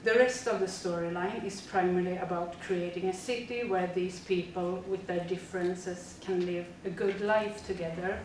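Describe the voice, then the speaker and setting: A woman's voice: fairly low pitch, steady tone, normal speed, few pauses, darkish tone, very echoey, distant mic, a little hiss or hum, rough recording. A woman, a teacher reading a prepared presentation steadily with frequent fillers. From Sweden. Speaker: teacher presenting a school project.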